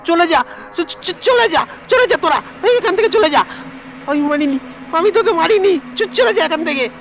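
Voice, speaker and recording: Terrified, high-pitched village man. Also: expressive, normal speed, fairly bright tone, faint music behind, heavily acted, poor audio. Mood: frantic denial. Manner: stammering, panicked, shrieking pleas. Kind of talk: Bengali horror audio drama acting.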